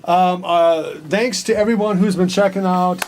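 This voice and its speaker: A man with a somewhat high voice co-hosts a relaxed, informal chat. He states blunt opinions but gets there haltingly and hesitantly. There is a light layer of ambient noise behind him. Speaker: co-host. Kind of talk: casual movie chat. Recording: noticeable background noise.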